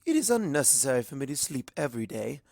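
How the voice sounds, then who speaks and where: A man's voice: medium pitch, sing-song, fast talker, creaky, a little mellow, dry recording, clean studio sound. A man voicing a female pony princess who speaks formally and stately.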